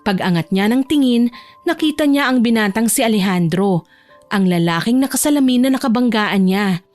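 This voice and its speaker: A woman's voice: fairly high pitch, animated, moderate pace, darkish tone, dry recording. A woman reading scripted narration for a romance audio drama, with music playing behind her.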